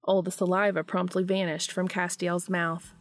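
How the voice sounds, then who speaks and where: A woman narrating fan fiction in an expressive audiobook style. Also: on the low side, moderate pace, music in the background.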